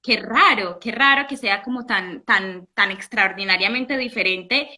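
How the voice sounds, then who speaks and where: A young woman from Italy, a guest in a casual interview, telling her story in an animated way with many restarts. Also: medium pitch, unhurried. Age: young adult.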